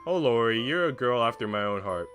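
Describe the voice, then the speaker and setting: A man's voice: mid-range voice, expressive, a little fast, a bit bright, faint music behind, close to an everyday voice. A man reading the part of a generous young duchess in a visual novel, playful, teasing and a little grand. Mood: fond, amused. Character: teasing, generous young duchess, a woman wooing her lover.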